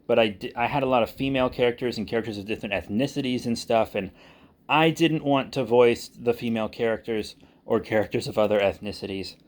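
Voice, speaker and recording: A man speaking casually and unscripted as a channel host, candid and self-deprecating, with halting delivery. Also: mid-range voice. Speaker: audio drama creator and channel host. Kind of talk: casual unscripted vlog talk.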